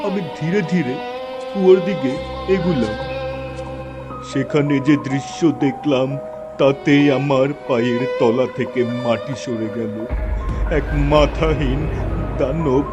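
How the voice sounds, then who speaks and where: A man tells a first-person horror story in a dramatic, scripted style. His voice has a medium pitch. Music is clearly heard underneath, along with night insects. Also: slow, pauses often.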